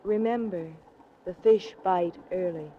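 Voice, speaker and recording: A woman's voice: fairly low pitch, measured pace, mellow, a little hiss or hum, lightly acted. A woman playing a cool, slyly mocking young schemer, composed and imperious, in a 1940s radio drama. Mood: teasing. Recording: muffled 1940s radio recording.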